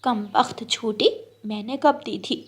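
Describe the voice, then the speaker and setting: A woman's voice: fairly high pitch, measured pace, husky. Woman playing a scolding, cruel stepmother bird. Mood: accusing. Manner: scolding, bossy.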